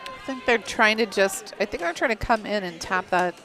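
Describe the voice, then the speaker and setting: A mid-pitched woman from Iowa adds easygoing color commentary to a curling broadcast. She laughs easily and chimes in with quick remarks. A faint crowd murmurs behind her. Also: fairly bright tone.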